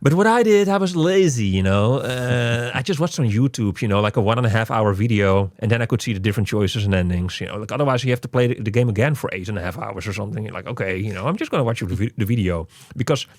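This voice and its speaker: Animated man chatting through a game review. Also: lowish voice, fast, few pauses, no echo. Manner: animated, rambling, repeats "you know". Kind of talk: casual conversational game review.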